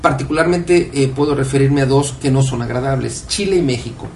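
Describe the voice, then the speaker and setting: A man's voice: mid-range voice, fairly quick, darkish tone, far from the mic. A man co-hosting a radio interview, asking long winding questions with many fillers. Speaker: radio show co-host. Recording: echoey room, off mic, faint noise.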